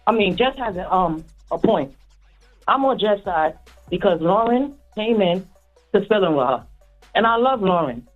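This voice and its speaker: A woman's voice: mid-range voice, lively intonation, normal speed, faint music behind. Woman caller on a thin phone line. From the Bronx, United States. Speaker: radio call-in listener.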